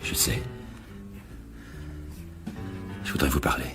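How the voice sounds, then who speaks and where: An actor playing a disturbed killer in a radio thriller, hesitant and repetitive, apologising with soft menace and obsession in a breathy, unsteady voice. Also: male voice, fast talker, lightly acted. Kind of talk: French radio thriller acting. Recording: music behind the voice.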